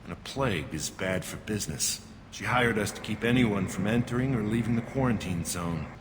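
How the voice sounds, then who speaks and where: An actor voicing a gruff, dismissive alien guard in a video game, curt and condescending. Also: male voice, lowish voice, animated, a little fast, lightly acted. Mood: scornful, curt. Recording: long reverb, background noise.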